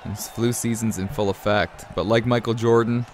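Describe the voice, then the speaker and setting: A man's voice: fairly low pitch, steady tone, normal speed, a faint crowd, slight background noise. A young man from Canada with a cold, chatting casually while breaking down his gameplay, often calling his listeners man and guys. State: has a cold. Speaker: gaming commentator.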